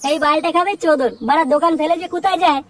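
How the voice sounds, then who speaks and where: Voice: sits high, fairly even intonation, rapid-fire, lightly acted. A performer voicing a bossy, coarse male village gang leader in a comic cartoon. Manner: bossy, coarse, swearing constantly. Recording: distant, very noisy cartoon soundtrack.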